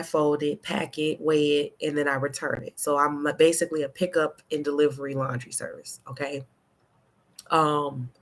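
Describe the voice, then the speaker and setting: Congested, nasal woman chatting slowly. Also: low-pitched. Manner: chatty, rambling, frequent "okay?" check-ins. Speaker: laundry pickup and delivery business owner. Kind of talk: casual story-time vlog.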